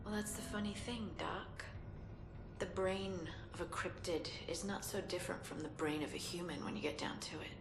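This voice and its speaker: An actress playing a defiant captive scientist with psychic powers in a game cutscene, mocking, weary and quietly threatening. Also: female voice, on the low side, normal speed, music in the background, natural voice. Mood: ominous, sly. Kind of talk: dramatic video game cutscene acting. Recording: echoey room with clear background noise.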